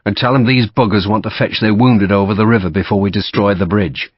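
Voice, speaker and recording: A man voicing a curt, rough army captain in an audiobook. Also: fairly low pitch, fairly even intonation, a little fast, no echo, lo-fi sound. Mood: gruff. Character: blunt tough rifleman captain, man.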